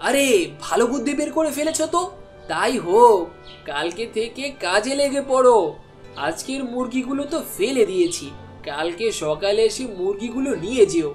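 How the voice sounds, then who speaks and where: Woman voicing a highish, grumbling market shopkeeper. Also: lively intonation, moderate pace, a little mellow, natural voice. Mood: conspiring. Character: complaining male village market shopkeeper. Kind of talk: cartoon dialogue voice acting. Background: background music.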